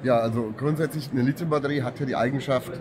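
Calm middle-aged male service technician. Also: medium pitch, fast talker. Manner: calm, technical, matter-of-fact explaining. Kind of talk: trade fair interview, explaining products. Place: busy exhibition hall booth. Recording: trade hall with clear crowd noise behind.

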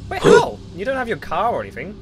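A young man hosting an improvised game roleplay, talking in an excitable, jumpy way and quick to exclaim. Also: high voice, very noisy. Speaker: roleplay host playing a hotel owner. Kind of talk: improvised game roleplay, voiced over gameplay.

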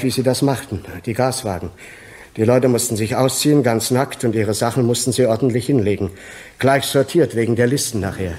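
An actor playing a reticent, uneasy clerk in his forties, halting and subdued, in a radio drama. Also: medium pitch, darkish tone, lightly acted. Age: 40s. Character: reticent, uneasy 42-year-old clerk, a reluctant witness. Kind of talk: German radio crime drama acting.

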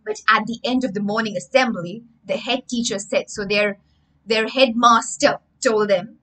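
Bright-voiced young woman teaching by storytelling. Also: fairly high pitch, fairly even intonation, normal speed, rough recording. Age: young adult. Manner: theatrical, emphatic, explains words with synonyms. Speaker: children's English teacher and storyteller. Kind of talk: livestream storytelling lesson with vocabulary asides. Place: indoor room with bookshelves.

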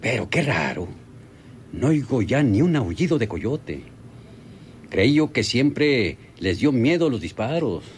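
Jittery northern Mexican outlaw, animated radionovela voice. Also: male voice, mid-range voice, slow, a little hiss or hum. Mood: worried. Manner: folksy, excitable, stammering repetitions. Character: jittery, cowardly rural northern Mexican outlaw, stubbornly boastful. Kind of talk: radionovela acting.

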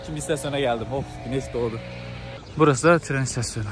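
A young man talks quickly and enthusiastically in a casual, slangy travel vlog. He holds the phone at arm's length on the move, with clear music and some vehicle noise behind him. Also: mid-range voice. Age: young adult. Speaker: travel vlogger. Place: outdoors in villages and inside trains.

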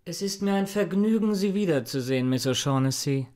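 An actor playing a fussy, courteous, shady dealer with a formal, over-polite manner in a German radio crime drama. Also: male voice, on the high side, lively intonation, fairly quick, noisy background, close to an everyday voice. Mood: polite, guarded.

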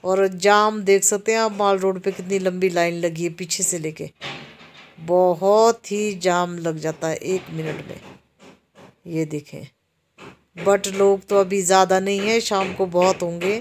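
A woman from Himachal Pradesh narrates a walking tour through a busy town market. She speaks slowly and unhurriedly, her voice staying flat with little rise and fall. She explains the shops to viewers as she films on a handheld camera. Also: mid-range voice, a little hiss or hum. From Himachal Pradesh, India. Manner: slow, even-toned, explaining to viewers. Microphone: handheld camera while walking.

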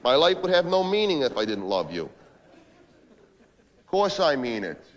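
A man's voice: mid-range voice, very animated, normal speed, close to an everyday voice. An actor playing a manipulative, foul-mouthed male office boss working the phone, badgering and repeating himself in a comic dramatic monologue. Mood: smooth, flirtatious. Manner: repetitive, badgering, swinging between ranting and smooth-talking.

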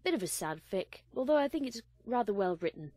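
A woman reading fan fiction aloud as an expressive storyteller. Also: mid-range voice, a little fast, no echo, clean studio sound. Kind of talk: dramatic scripted narration.

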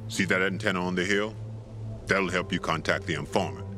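A man playing a weathered, gruff but big-hearted middle-aged pilgrim in a post-apocalyptic video game, laid-back, teasing and wistful. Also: sits low, a little fast, natural voice. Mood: matter-of-fact. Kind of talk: naturalistic video game dialogue acting. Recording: music under the dialogue.

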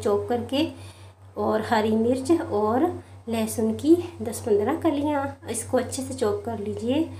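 A woman's voice: on the high side, darkish tone, reverberant, slight background noise. A woman hosting a home cooking channel, talking viewers through a recipe in a casual, friendly way. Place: home kitchen.

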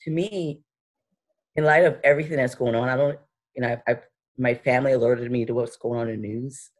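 A woman's voice: a bass voice, steady tone, fairly quick. A young woman speaking as a panelist on a video call from her living room. She is thoughtful and often restarts her sentences while she searches for words. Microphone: laptop webcam on a video call.

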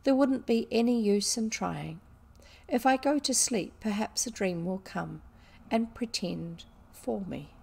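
A woman reading a storybook, voicing a grave, sad young schoolgirl in a quiet, restrained way. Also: medium pitch, measured pace, gravelly, slightly rough. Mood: sad, resigned.

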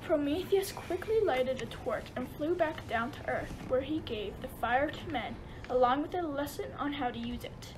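A woman's voice: sits high, moderate pace, background noise, lightly acted. A woman narrating a myth as scripted storytelling for an amateur radio play.

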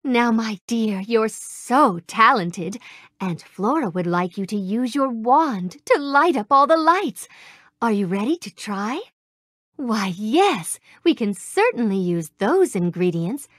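Sweet fairy-tale princess for a game, soft and refined, kinda high, lively sing-song lilt, dry close studio sound. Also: female voice, slow, no room sound, heavily acted. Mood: grateful. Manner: polite, gracious, warmly grateful. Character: gentle, kind young princess, sweet and gracious. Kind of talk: game voice clips. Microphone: close studio mic.

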